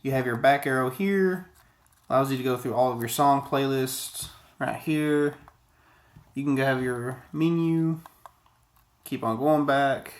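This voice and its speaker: A man's voice: medium pitch, unhurried. Male reviewer, thirties, mid-range voice, informal and digressive, talking to a desk camera in a small office. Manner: rambling, conversational, digressive. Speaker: product reviewer. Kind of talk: casual video review to camera.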